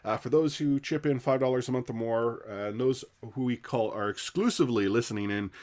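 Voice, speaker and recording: A man hosting a podcast, reading out support and community plugs in a steady manner. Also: mid-range voice, a little fast. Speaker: podcast host.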